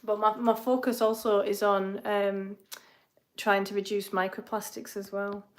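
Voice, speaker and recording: A woman's voice: mid-range voice, little pitch movement, unhurried. A woman with an English accent, a fitness coach chatting casually through a live question-and-answer stream from her home kitchen. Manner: chatty, rambling, asides while cooking.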